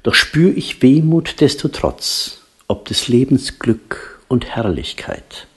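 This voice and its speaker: A man reciting a solemn poetic audio drama in a hushed, breathy manner, with drawn-out phrases. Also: mid-range voice, big pitch swings. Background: new-age instrumental music.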